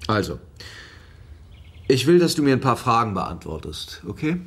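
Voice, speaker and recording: A man's voice: mid-range voice, very animated, normal speed, a bit bright, breathy edge, heavily acted. An actor playing a blunt, informal male police lieutenant questioning someone in a radio drama. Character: blunt, world-weary male police lieutenant, father of daughters. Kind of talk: radio drama acting, interrogation.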